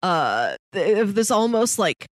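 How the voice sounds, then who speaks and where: Woman cohost just chatting on a review podcast, mid pitched voice, super dry sound no echo. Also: big pitch swings, unhurried. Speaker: podcast co-host. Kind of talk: casual review chat.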